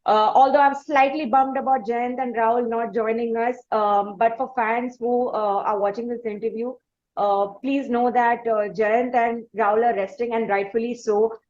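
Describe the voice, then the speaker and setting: A woman with an Indian accent hosting a conversational interview, asking long, halting questions. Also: a little high, steady tone, a little mellow, highs cut off. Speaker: interviewer and podcast host.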